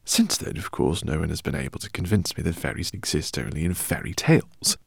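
A man voicing an excitable, eccentric older male professor who speaks in effusive, fussy exclamations. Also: rumbling low, fairly quick, vocal fry, husky, no echo, studio quality, full character voice. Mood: eager. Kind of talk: audiobook character reading.

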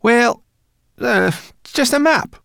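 A man voicing a brash, excitable, bossy female furry creature in a storybook, loud and exclaiming. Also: extremely high pitch, takes it slowly, vocal fry, no echo, studio quality, pitched above the performer's own voice, exaggerated voice. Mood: self-important.

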